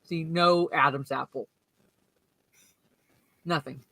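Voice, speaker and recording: A woman with a slightly deep voice hosting a casual movie chat, rambling with lots of fillers and restarts. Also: fairly even intonation, slow pace, vocal fry, sharp tone, no echo, poor audio. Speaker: podcast host.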